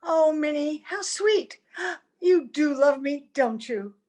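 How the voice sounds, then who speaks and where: A high, girlish young bride acted by a woman in a vintage-style radio play. She sounds romantic and naive, with happiness edged by worry. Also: animated, slow, a little mellow. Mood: delighted, moved. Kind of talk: old-time radio drama acting.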